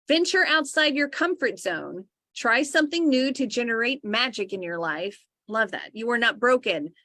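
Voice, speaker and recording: Bubbly high-voiced woman, dry sound. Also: fairly bright tone, no room sound. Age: adult sister of co-host. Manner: gushing, laughs often, easily sidetracked. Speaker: co-host of a spiritual livestream. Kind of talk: casual chat, reviewing books.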